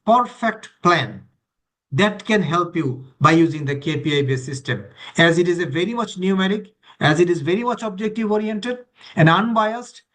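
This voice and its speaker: A man's voice: sits high, a bit bright. A man leading a training session, explaining in an emphatic, list-like way and checking that listeners follow. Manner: emphatic, repetitive listing, checks understanding with questions. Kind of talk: explanatory teaching to online participants.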